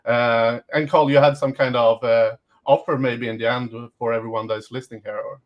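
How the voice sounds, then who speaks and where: A man's voice: medium pitch, fairly even intonation, a little fast, muffled recording. A man hosting a live webinar Q&A, talking with many hesitations and fillers. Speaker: host.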